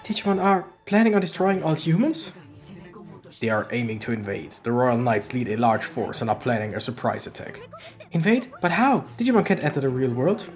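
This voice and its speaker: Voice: medium pitch, expressive, brisk, soft background music, close to an everyday voice. A streamer voicing a young human hero in a game, asking alarmed, urgent questions in a raised voice. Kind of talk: game dialogue read aloud.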